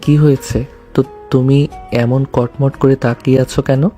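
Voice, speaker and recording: A young groom, played by a man, devoted and a little sheepish, in an audio romance. His voice is mellow and soft-edged, with music playing faintly behind it. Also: medium pitch, level tone, measured pace, lightly acted. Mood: sheepish, apologetic. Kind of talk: audio love story acting.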